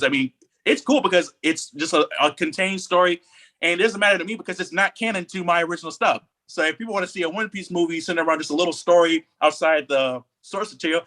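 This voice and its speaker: A man's voice: high voice, animated, brisk, sharp tone. A man co-hosting a podcast, talking casually and giving opinions with emphatic, repeated phrasing. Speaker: podcast co-host.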